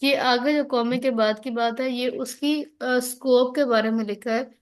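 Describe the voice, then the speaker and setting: A Pakistani woman with a fairly high voice teaches law in an online class. She explains in an instructional way, mixing in English legal terms, and often checks that her students are following. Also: steady tone, a little slow. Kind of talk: online lecture, explaining and reading out legal articles.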